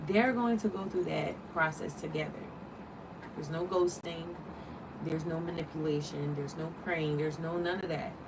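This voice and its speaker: Woman in her late thirties, livestream host, animated and preachy, lowish voice, distant mic, vehicle noise behind. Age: 30s. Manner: animated, preachy, rhetorical questions. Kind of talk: casual livestream talk.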